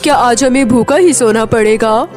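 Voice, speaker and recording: A woman's voice: on the high side, moderate pace, a little mellow, faint music behind, lightly acted. A woman voicing a plaintive, childlike little orphan boy in a cartoon story. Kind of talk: Hindi cartoon moral story.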